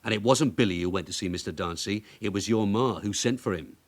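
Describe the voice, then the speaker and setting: An actor playing a shrewd, dogged, middle-aged detective sergeant in a radio crime drama, blunt and wry. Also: male voice, on the low side, fast, close to an everyday voice. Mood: needling, dogged.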